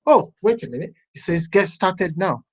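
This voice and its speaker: A software testing teacher walking a class through a website step by step, thinking aloud as they browse. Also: mid-range voice, very animated, normal speed. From Nigeria. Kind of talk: live class walkthrough. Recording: dull lo-fi online class audio.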